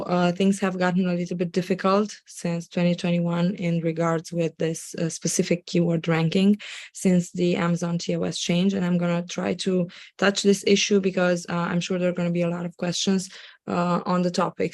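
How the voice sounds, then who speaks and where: A woman from Romania with a Romanian accent, giving a live webinar presentation over a video call while fighting a cold, her voice slightly squeaky and full of ums and restarts. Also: no room sound. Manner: hesitant, many ums and restarts. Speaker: guest expert presenter.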